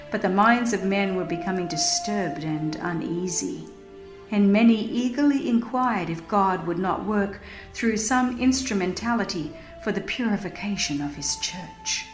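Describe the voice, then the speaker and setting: A woman's voice: medium pitch, a little slow. A woman narrating a religious history audiobook in a solemn, emphatic, formal manner. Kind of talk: dramatized scripted narration, reading a religious history. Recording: echoing voice over music. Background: music.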